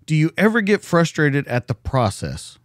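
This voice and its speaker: A man's voice: fairly high pitch, big pitch swings, moderate pace, dry recording. A man hosting a podcast from a home studio, asking probing questions and repeating them. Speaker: podcast host. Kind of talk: interview questions.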